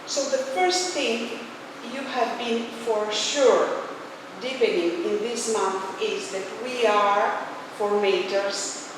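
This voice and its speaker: A Polish woman delivers a reflective religious speech in accented, halting English at an unhurried pace. Her voice comes from a distance in a very echoey hall, with clear background noise. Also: medium pitch. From Poland. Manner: halting, searching for words in non-native English. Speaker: religious leader, speaker. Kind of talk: informal closing speech with spiritual reflection. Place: large echoey hall, speaking to a gathering. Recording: echoey hall from far off, noisy.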